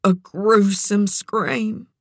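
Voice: mid-range voice, drawn-out words, no room sound, pitched above the performer's own voice. A narrator voicing a grieving, dazed, folksy woman who keeps horses in an audiobook story. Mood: haunted, grieving.

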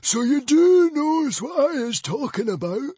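A man's voice: extremely high pitch, very animated, unhurried, dark tone, dry recording, pitched above the performer's own voice, full character voice. A man voicing a hissing, whiny male librarian villain in an audiobook reading. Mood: menacing, screeching.

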